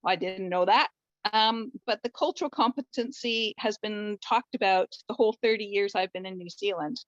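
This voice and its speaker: A woman's voice: mid-range voice, normal speed, dry recording, dull audio. A Canadian woman in her fifties, a family doctor, chatting in a friendly, rambling way on a video call. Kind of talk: participant comment in online session.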